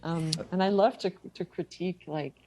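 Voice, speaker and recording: Low-voiced woman on a slightly noisy call. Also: moderate pace, mellow. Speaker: podcast guest, filmmaker. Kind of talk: casual interview chat.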